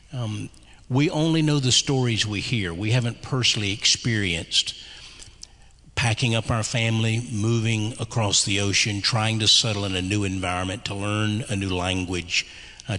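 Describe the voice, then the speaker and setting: Man, moderator, bright lowish voice, measured, chapel reverb. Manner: measured, with frequent uh fillers. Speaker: host and moderator. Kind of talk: event introduction. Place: chapel on stage.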